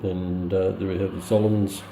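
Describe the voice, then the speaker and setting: A middle-aged man giving an unscripted product demonstration in a halting, matter-of-fact way. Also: low voice, level tone, gravelly, mellow, reverberant, a little hiss or hum. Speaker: presenter of a radio review. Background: shortwave radio broadcast playing.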